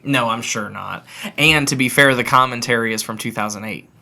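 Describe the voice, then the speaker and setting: A man's voice: medium pitch, a little fast, gravelly, pushed and bright. A male podcast co-host in casual chat, laid-back and laughing easily.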